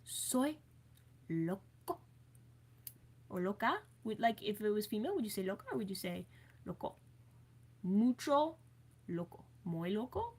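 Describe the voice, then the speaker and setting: A woman's voice: mid-range voice, sing-song, a little slow, pauses often, darkish tone, husky. A woman from Maryland chatting casually on a live stream, reading viewer comments aloud in a playful, chatty way. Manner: chatty and playful, with many fillers and asides.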